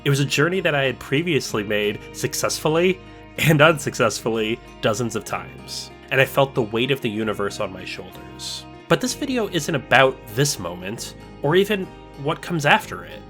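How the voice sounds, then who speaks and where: Male video essayist, reflective scripted voice-over, deliberate pacing, faint guitar bed. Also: mid-range voice, lively intonation, fairly bright tone. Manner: measured, reflective, slowing for emphasis. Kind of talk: scripted narration.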